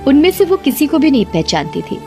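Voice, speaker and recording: Expressive woman story narrator over music. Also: sits high, brisk. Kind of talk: scripted dramatic Hindi story narration.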